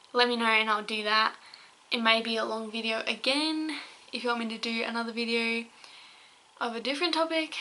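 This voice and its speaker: A young woman rants to her viewers in a heated, rambling way. She sounds out of breath and hoarse, as if her voice is giving out. Her tone is bright and sharp, set a little high, yet it barely rises or falls. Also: low-quality recording. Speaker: vlogger. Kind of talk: angry opinion rant to camera.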